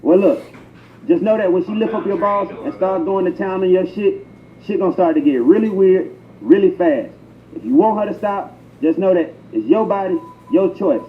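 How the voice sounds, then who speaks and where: A man's voice: sits high, expressive, moderate pace. A man delivering crude comedic mock tutorials in a profane, slangy, instructional manner. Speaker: prank tutorial narrator. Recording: echoey, muffled, noisy intercom playback. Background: public transit ambience.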